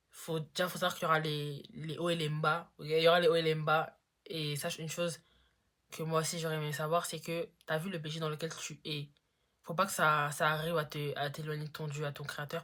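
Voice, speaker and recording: Chatty teenage girl, lower voice. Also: a bit bright. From Congo-Brazzaville. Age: teens. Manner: chatty, lots of fillers, slangy. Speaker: YouTuber. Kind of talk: casual Q&A vlog.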